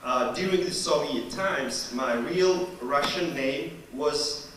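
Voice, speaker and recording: Slow Georgian-accented man doing echoey stand-up. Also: on the high side. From Georgia (the country). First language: not English. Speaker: stand-up comedian, teaching assistant. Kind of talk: stand-up comedy routine. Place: live stage performance in university room. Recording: echoey room, distant mic, audience noise.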